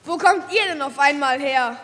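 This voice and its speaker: A female performer playing an excitable, cheeky eleven-year-old child who exclaims indignantly in an audio play. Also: sits high, normal speed, a little hiss or hum. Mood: defensive. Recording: long cave-like reverb.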